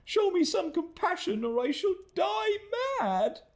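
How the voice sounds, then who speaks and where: A man's voice: sing-song, a little slow, heavily acted. A man doing a sobbing, pleading young woman. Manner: high, sobbing, imploring. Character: desperate weeping young woman pleading for mercy.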